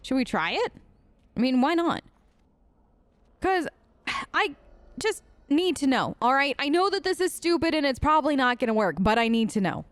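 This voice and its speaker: A woman giving chatty, excitable gameplay commentary as a streamer, talking straight to her viewers. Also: high voice, moderate pace, long pauses, dry recording. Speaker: let's play streamer.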